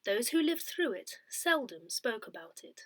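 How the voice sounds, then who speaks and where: Woman reading aloud with lively, swooping intonation. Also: fairly high pitch, normal speed, no room sound. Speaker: newsletter reader. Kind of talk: scripted narration, reading aloud.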